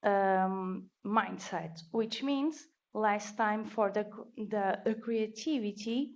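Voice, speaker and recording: Portuguese-accented woman speaker, slow deliberate delivery, muffled lo-fi sound. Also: medium pitch. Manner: careful, deliberate, with restarts. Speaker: meetup speaker. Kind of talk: conference talk. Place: meetup venue. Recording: dull lo-fi recording.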